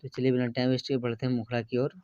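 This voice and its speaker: A man's voice: medium pitch, completely flat delivery, racing, background noise. Man, dull lo-fi sound. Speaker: channel host and songwriter. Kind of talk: casual scripted-sounding channel presentation.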